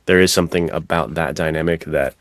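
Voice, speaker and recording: A man's voice: extremely low pitch, steady tone, a little fast, dry recording, clean studio sound. A man co-hosting a podcast, chatting casually with an informal, enthusiastic, bro-ish manner. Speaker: podcast co-host.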